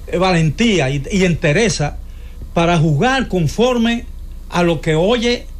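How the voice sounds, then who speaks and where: A man's voice: high voice, a little slow. A man debating politics on a talk show, hesitating with many filler sounds and restarts, often asking for agreement. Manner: opinionated, asks listener for agreement.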